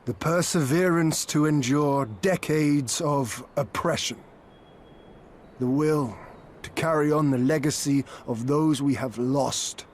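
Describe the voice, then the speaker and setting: An actor playing a solemn, earnest leader of a liberated homeland in a video game cutscene. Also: male voice, a little high, slow pace, a little hiss or hum.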